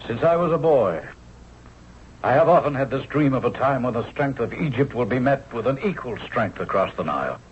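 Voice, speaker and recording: A man's voice: mid-range voice, animated, normal speed, close to an everyday voice. Man as a dignified Egyptian soldier, solemn oratory, wide-ranging intonation, respectful, vintage radio play, dull faintly noisy recording. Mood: solemn, fervent. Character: solemn, dignified captain of the royal guard, idealistic. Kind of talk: 1970s radio drama acting.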